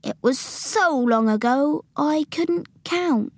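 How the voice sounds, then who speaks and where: A woman's voice: high-pitched, animated, takes it slowly, darkish tone, dry recording, clean studio sound, pitched above the performer's own voice, heavily acted. A woman voicing a very old, forlorn but friendly male giant in a storybook, drawing out mangled words with great emphasis. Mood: musing.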